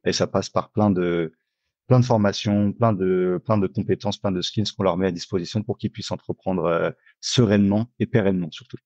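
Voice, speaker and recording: A man's voice: deep voice, steady tone, a little fast, darkish tone, dry recording, dull audio. A man, introducing himself casually as a podcast guest. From Paris, France. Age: 20s. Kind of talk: casual interview self-introduction.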